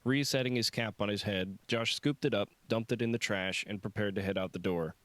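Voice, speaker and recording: A man's voice: fairly low pitch, fairly quick, a bit bright, no echo. A man reading scripted fiction as an audiobook narrator.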